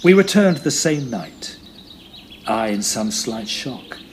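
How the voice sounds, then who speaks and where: A man playing an earnest Edwardian gentleman who tells his ghost-hunting tale in formal, suspenseful phrases, as audio drama. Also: mid-range voice, very animated, slow pace, noisy background. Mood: intrigued, grave. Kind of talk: dramatic first-person storytelling, audio drama. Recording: music bed under the voice. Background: music.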